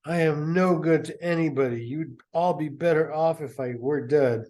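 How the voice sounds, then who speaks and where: A man's voice: a little high, normal speed, darkish tone, lightly acted. A man reading as a self-pitying drunk mother. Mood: despairing.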